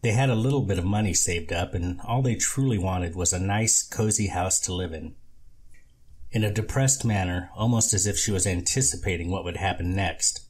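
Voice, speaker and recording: Low husky male story narrator. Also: normal speed, darkish tone. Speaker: amateur audiobook reader. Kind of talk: scripted novel narration.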